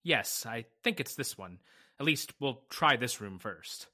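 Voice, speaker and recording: A man playing a brisk, level-headed and skeptical attorney in an old-time radio drama. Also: medium pitch, lively intonation, sharp tone, a little hiss or hum. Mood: matter-of-fact.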